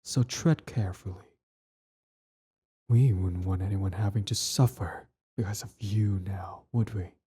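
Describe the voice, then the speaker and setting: Male actor as cold villain prince, low dark voice, breathy, smug, possessive, condescending, ASMR roleplay. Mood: calm, threatening. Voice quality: husky, breathy, dropping into whispers. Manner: taunting, condescending, slow drawl with soft threats.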